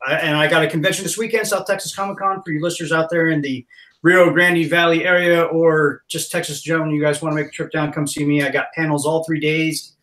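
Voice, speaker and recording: Male guest, comic artist, keen talker, slightly high voice, roomy sound. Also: fairly even intonation, quick pace, a bit bright. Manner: eager, plugging events. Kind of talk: casual chat. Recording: roomy echo.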